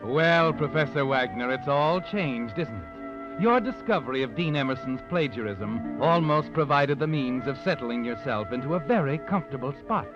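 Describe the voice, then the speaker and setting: Actor as an ominous noir narrator over music. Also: male voice, medium pitch, animated, moderate pace, lightly acted. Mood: ominous, taunting. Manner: ominous, mocking, dramatic, addresses the character. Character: sinister all-knowing male narrator taunting the guilty. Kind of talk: 1940s radio noir narration. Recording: muffled old radio recording. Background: dramatic music.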